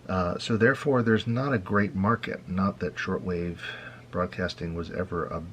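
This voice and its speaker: An American man hosting an informal hobby tutorial, explaining things in a halting, conversational and wry way. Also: deep voice, fairly even intonation, slight background noise. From United States. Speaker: host of a hobby radio tutorial.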